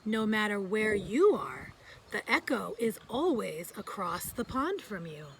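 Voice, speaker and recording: A woman voicing a wise old male beaver in a children's storybook, calm, measured and gently explanatory. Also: medium pitch, a little hiss or hum. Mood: calm, reassuring. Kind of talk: children's storybook read-aloud.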